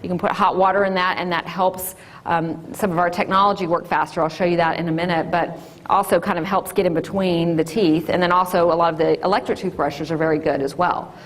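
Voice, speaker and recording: A woman, an orthodontist giving a loud, lively classroom talk to children. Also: lowish voice, a little fast. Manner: loud and lively, sing-song call-and-response.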